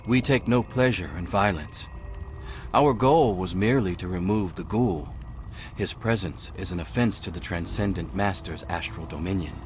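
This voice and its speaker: An actor voicing a serene, devout male cult leader in a video game, soft-spoken and formal. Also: sits low, moderate pace, a little hiss or hum, close to an everyday voice.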